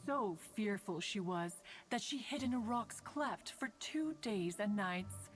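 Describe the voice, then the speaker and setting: A woman's voice: medium pitch, lively intonation, a little slow, close to an everyday voice. A woman voicing an ancient, dignified, motherly female immortal in a video game, with stately, archaic formal speech. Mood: fond reminiscing. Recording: soft game music behind the voice.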